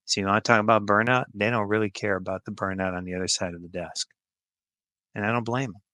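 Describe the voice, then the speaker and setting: A man's voice: on the low side, steady tone, fast, bright, forceful tone, no echo. A middle-aged or older American man answering interview questions in an informal, plain-spoken and emphatic way. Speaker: podcast guest, healthcare executive.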